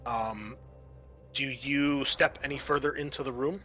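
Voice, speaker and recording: A man runs a tabletop sci-fi horror game as game master. His voice is bright and forward at a medium pitch. He describes scenes in a halting, searching way, with music playing underneath. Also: steady tone.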